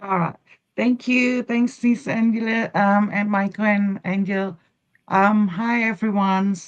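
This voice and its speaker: A middle-aged woman, a guest on an online show, speaking haltingly with many fillers. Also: medium pitch, steady tone, clean tone. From Indonesia. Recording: dull lo-fi audio.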